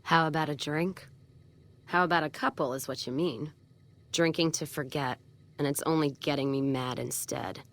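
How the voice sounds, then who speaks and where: Game role: hard-drinking, hot-tempered female trader, very low pitch, brusque and world-weary. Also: normal speed, a bit bright. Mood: bitter, weary. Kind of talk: video game character dialogue.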